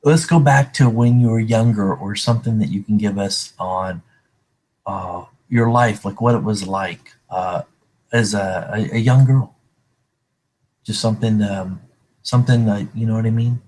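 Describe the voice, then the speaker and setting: A man's voice: fairly low pitch, measured pace, a little mellow, rough recording. A man hosting an interview, asking questions hesitantly and searching for words with many fillers. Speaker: interviewer, host.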